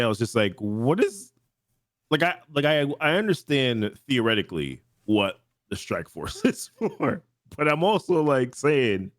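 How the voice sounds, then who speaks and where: A man's voice: medium pitch, dry recording. A man co-hosting a casual podcast, thinking aloud in a rambling way and often repeating himself, speaking close into a heavy dynamic microphone. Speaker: podcast co-host. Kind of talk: casual chat. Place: home studio, streaming live. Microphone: close dynamic mic.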